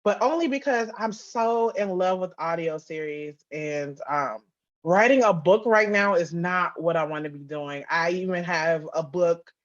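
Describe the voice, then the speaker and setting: A woman's voice: fairly low pitch, measured pace. Woman chatting candidly, dull lo-fi sound. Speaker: guest, author and audio drama writer-producer. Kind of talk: casual, conversational interview answers.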